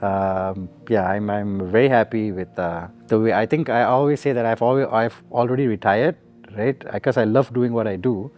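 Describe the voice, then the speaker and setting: A man's voice: fairly low pitch, moderate pace, a little mellow, soft background music. Laid-back man reflecting in an interview. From Singapore. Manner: easygoing, reflective, laughs often. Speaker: musician guest. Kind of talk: relaxed interview answers.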